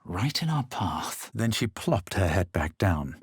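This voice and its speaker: A man playing a haughty, self-assured young adventurer in a fantasy video game, speaking in a measured, theatrical way. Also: deep voice, sing-song, slightly rough, no echo, studio quality, exaggerated voice. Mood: brooding, measured. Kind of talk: dramatic video game voice lines and narration.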